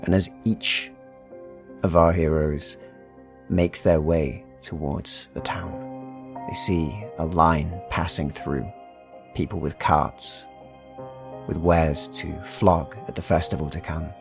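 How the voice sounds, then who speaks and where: Male game master, low voice, atmospheric storytelling, deliberate phrasing, faint music bed. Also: fairly even intonation, creaky. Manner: measured, deliberate phrasing with dramatic pauses. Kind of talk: atmospheric fantasy storytelling narration.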